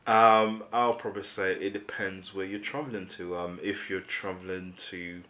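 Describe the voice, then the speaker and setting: A man's voice: deep voice, fairly even intonation, normal speed, a bit bright. A man from Uganda co-hosting a casual, opinionated discussion, explaining his views conversationally with frequent "you know". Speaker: talk show co-host.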